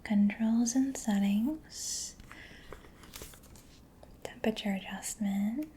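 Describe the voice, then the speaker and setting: A woman speaking in a breathy, mostly whispered voice, gentle, unhurried and reassuring, in a soft-spoken ASMR roleplay as a caring technician. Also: a little high, slight background noise.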